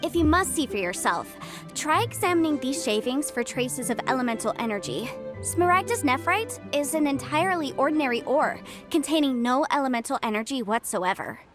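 High, brisk young legal adviser. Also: female voice, animated, music in the background. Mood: focused. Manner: articulate, businesslike. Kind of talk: video game voice acting.

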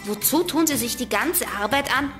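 A woman playing a bold, sharp-witted, slangy girl detective in a children's audio drama. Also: high-pitched, expressive, faint music behind. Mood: sceptical, reasoning. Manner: brisk, decisive, slangy.

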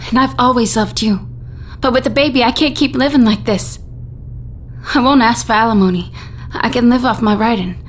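An actress playing an earnest, fed-up 1950s bohemian housewife, speaking firmly in radio drama style. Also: female voice, moderate pace, long pauses, natural voice. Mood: regretful, firm. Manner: firm, declarative. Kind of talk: retro radio drama acting. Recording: noisy with low rumble.

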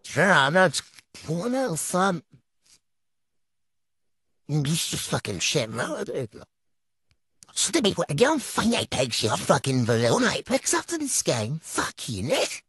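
British man, gaming rant, exasperated, foul-mouthed, voice-filter effect, highly varied intonation, unhurried delivery. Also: wildly swooping pitch, a bit bright, husky, no room sound, studio quality. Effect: pitch-altering trickster voice filter. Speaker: gamer commentating on own football game play. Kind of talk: gaming commentary with ranting.

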